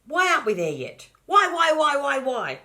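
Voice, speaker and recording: Woman as a loud, demanding little piglet girl. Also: high-pitched, very animated, moderate pace, pitched above the performer's own voice, exaggerated voice. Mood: whiny, impatient. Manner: loud, insistent, repeats words in a chant.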